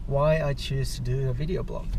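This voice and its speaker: A man's voice: medium pitch, steady tone, normal speed. A man whose first language is Japanese, rambling casually in a vlog on his way to work, often restarting and searching for words. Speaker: vlogger. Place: outdoors on the way to work, traffic nearby. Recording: street traffic noise behind. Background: car traffic.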